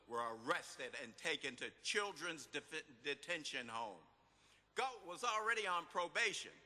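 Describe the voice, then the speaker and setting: Forceful, bright-voiced older man in a hall. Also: a little high. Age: middle-aged or older. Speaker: reader at a legal commemoration event. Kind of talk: scripted case summary read aloud to an audience. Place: large hall at a public event. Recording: reverberant hall.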